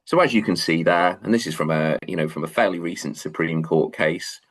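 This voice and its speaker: Male law lecturer, Manchester accent, deep voice, halting delivery full of fillers, online class. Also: a bit bright. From England. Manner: hesitant, many fillers and restarts.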